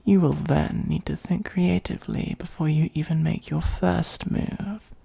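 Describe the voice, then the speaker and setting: A performer of unclear sex voicing an ancient, haughty dragon in a comedic fantasy ASMR roleplay, with a breathy, husky, gravelly voice and a sardonic, condescending, musing manner. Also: medium pitch, fairly even intonation, unhurried, mellow, full character voice. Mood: haughty, bargaining. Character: ancient gold-hoarding dragon, haughty, dryly exasperated.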